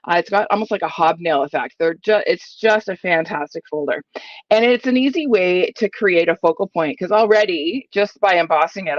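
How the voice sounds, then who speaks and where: A middle-aged woman from Ontario, giving a chatty, enthusiastic live crafting tutorial while croaky from a cold. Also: lowish voice, dry recording, muffled recording. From Ontario, Canada. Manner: chatty and enthusiastic, many fillers. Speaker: papercraft demonstrator.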